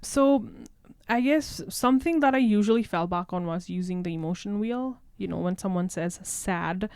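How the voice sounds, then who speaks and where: A woman's voice: mid-range voice, moderate pace, a little mellow, smooth, no room sound. A woman social worker talking casually on a podcast, often tagging her points with "right?". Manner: conversational, leans on "right?" tags.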